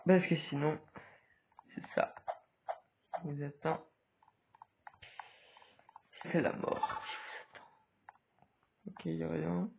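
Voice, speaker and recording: A man with a cold giving hesitant, casual commentary over a game, with sudden excited outbursts. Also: a little high, slow pace, vocal fry, long pauses, background noise. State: stuffy with a cold. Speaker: gaming video creator. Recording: tinny phone-like recording.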